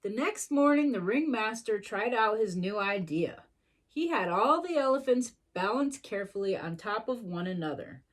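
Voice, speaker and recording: A woman's voice: medium pitch, lively intonation, normal speed. A woman reading a storybook to young children expressively, adding sympathetic little asides.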